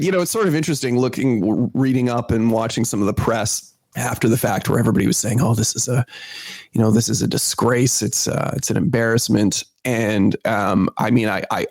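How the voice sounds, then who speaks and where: A man's voice: medium pitch, moderate pace, gravelly, darkish tone, dry recording. A Canadian man co-hosting a podcast in casual chat, congested with a cold, often repeating his phrases. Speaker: podcast co-host.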